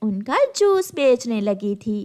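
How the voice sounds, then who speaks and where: A woman's voice: fairly high pitch, big pitch swings, takes it slowly, a little mellow. A woman reading scripted narration for a children's cartoon story.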